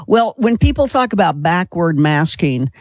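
A woman's voice: fairly low pitch, sing-song, normal speed, darkish tone, breathy edge, dry recording. A woman with an American accent hosts a casual late-night radio talk show. She speaks in a chatty, teasing way. The sound is close and dry, with no room on it.

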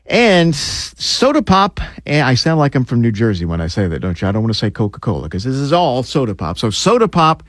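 Male radio news anchor, mid-pitched and animated, conversational delivery, close dry sound. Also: a little fast, hardly any pauses, no room sound. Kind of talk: morning radio news presenting.

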